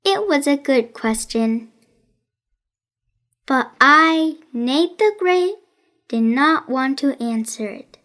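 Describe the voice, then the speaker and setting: A woman reading a children's story in expressive, careful, deliberate narration. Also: sits high. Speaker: children's audiobook reader.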